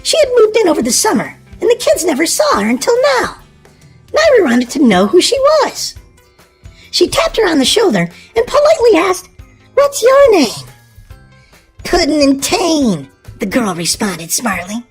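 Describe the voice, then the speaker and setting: A woman reading a children's storybook aloud in an expressive, animated way. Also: very high voice, normal speed, faint music behind. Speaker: storybook narrator.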